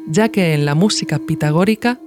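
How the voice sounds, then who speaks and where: A woman with an accent from Spain narrates a documentary essay. She reads from a script in a formal register, keeping a measured and reflective tone as a podcast author would. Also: deep voice, music in the background.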